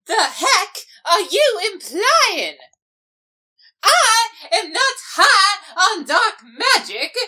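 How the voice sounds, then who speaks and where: A woman's voice: very high voice, pushed and bright, full character voice. A woman voicing an indignant, melodramatic villainous pony mare in a cartoon fan dub, given to whiny, over-dramatic outbursts. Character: melodramatic, indignant villainous young mare, protective older sister. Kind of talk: fan comic dub voice acting.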